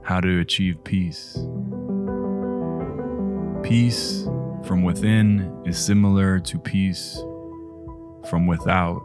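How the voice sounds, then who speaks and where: A man leading a guided meditation in a gentle, hushed delivery, leaving long pauses between short phrases. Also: low voice, fairly even intonation. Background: music.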